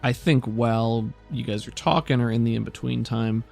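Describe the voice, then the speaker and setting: A man's voice: mid-range voice, steady tone, moderate pace, gravelly, a little mellow, soft background music. A man working as game master, narrating an improvised tabletop roleplaying game in a hesitant, conversational way.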